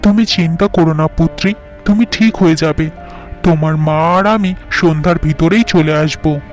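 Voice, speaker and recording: A man's voice: on the high side, normal speed, soft background music, lightly acted. A man voicing a worried, devoted father in a fairy-tale cartoon, speaking earnestly and with care. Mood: caring, resolute. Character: worried, devoted father of a sick young girl.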